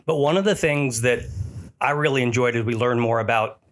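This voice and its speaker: A man's voice: mid-range voice, a little fast. Upbeat man hosting a podcast. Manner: upbeat, with frequent restarts. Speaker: podcast host. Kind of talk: casual chat.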